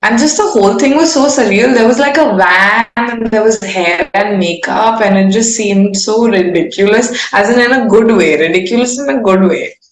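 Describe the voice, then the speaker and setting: A woman's voice: medium pitch, normal speed, hardly any pauses. A woman with an Indian accent chats casually and candidly as an interviewed singer, rambling in an informal, self-aware way. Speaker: singer-songwriter being interviewed. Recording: roomy echo.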